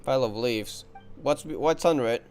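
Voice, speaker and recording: A man with a medium-pitched voice does casual game commentary. He muses aloud in short, offhand remarks. Quiet music runs underneath. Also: normal speed. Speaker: game streamer.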